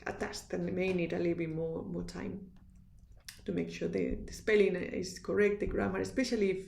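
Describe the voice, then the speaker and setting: A woman with a strong Spanish accent gives a university lecture in an earnest, instructive way. Her voice has a gravelly fry and a mellow, relaxed tone. The room around her is echoey. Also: lowish voice, slight background noise. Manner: earnest, instructive, with restarts and fillers. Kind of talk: recorded lecture.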